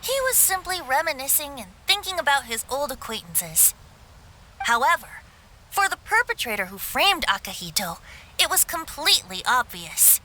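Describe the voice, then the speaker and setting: A woman's voice: high voice, big pitch swings, normal speed, sharp tone, a little hiss or hum, exaggerated voice. A woman voicing a playful young male bard in a fantasy game, telling things in a theatrical, explanatory way. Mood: knowing, explanatory.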